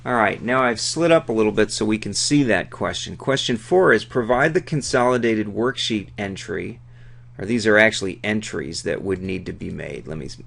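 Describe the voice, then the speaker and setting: Very gravelly man explaining a worked problem. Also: on the low side, animated, normal speed, fairly bright tone. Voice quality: gravelly, creaky. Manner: conversational, explanatory, thinking aloud. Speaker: accounting instructor. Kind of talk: informal tutorial, walking through a worked problem.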